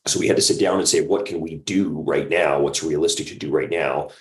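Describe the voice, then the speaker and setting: A man's voice: a bass voice, a little fast. A man talking casually as a guest in an interview, explaining his creative work in a conversational way. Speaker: guest, audio drama creator.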